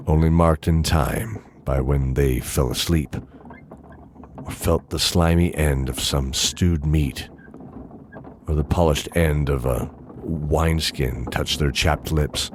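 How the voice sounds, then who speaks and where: A man narrating a fantasy audio drama in a theatrical, deliberate style with measured pauses. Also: rumbling low, fairly even intonation, noisy background. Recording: loud music under the voice.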